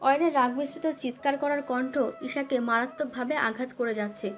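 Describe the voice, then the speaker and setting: A woman's voice: high voice, steady tone, brisk, faint music behind. A woman narrating a scripted dramatic love story as its storyteller.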